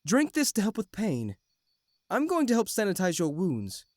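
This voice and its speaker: Healer for audio drama, female actor: concerned, kind, animated intonation, close dry sound. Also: mid-range voice, normal speed, no echo. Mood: gentle, concerned. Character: gentle healer tending the wounded.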